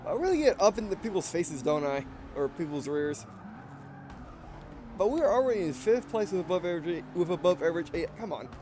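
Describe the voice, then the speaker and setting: A man's voice: high voice, fairly quick, slight background noise. Rambling young-sounding man with Southern-Russian accent. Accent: mixed Southern and Russian. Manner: rambling, self-correcting, excitable reactions. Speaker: let's play gamer. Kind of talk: casual gameplay commentary.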